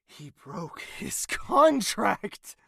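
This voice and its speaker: An actor playing a proud, wounded young male royal with a fierce temper in an audio drama, speaking in an intense, imperious and deliberate way. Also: sits high, very animated, gravelly, fairly bright tone, slightly rough, no echo, full character voice. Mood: defiant, heated. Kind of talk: dramatic audio drama acting with narrated inner thoughts.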